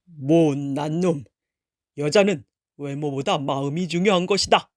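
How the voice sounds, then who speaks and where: A woman's voice: low-pitched, unhurried, no echo, clean studio sound, voice pushed down. A woman voicing a stern, dignified elderly nobleman in a storybook tale, with weighty old-fashioned pronouncements. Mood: angry scolding. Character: stern, dignified elderly father-in-law nobleman, gruff scolder.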